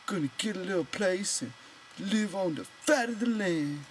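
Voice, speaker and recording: Man playing a high-voiced, childlike, simple-minded fellow. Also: animated, a little hiss or hum. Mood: earnest, insistent. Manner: simple, halting, childlike phrasing. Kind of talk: character voice in an audiobook reading.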